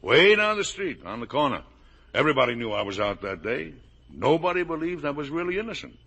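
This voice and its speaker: A man's voice: fairly high pitch, very animated, brisk, bright, forceful tone, breathy edge, exaggerated voice. An actor playing a blustering, defensive middle-aged American factory owner and father in emphatic 1950s radio drama style. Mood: proud, insistent. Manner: emphatic, colloquial, insistent. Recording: old radio drama broadcast.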